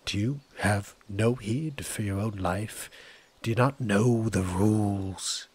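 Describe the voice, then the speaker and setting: A man voicing a proud, needy, menacing ancient forest entity in an ASMR roleplay, breathy and husky, with theatrical, formal diction. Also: on the low side, slow pace, darkish tone. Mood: menacing, offended. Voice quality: breathy, husky, often dropping toward a whisper.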